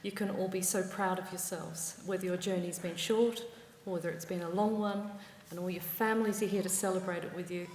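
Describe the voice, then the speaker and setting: A woman with an Australian accent giving a formal address to graduates at a ceremony, measured with wry asides. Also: on the low side, fairly even intonation, a little mellow, slight background noise. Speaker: university ceremony presider. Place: large ceremony hall. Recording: echoey hall reverb.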